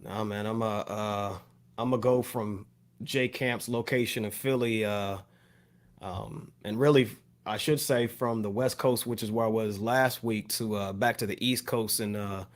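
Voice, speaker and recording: A man's voice: on the low side, fairly even intonation, unhurried. A man co-hosting a podcast, talking casually and appreciatively about hip hop, often repeating words as he goes. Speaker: podcast co-host.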